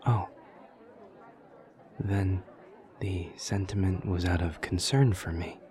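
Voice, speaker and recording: A man playing an awkward, earnest, literal-minded demon college student in an audio roleplay, speaking in a stilted, over-precise, deadpan way with hesitant pauses. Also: deep voice, a faint crowd. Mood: earnest, puzzled. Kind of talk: intimate audio roleplay acting.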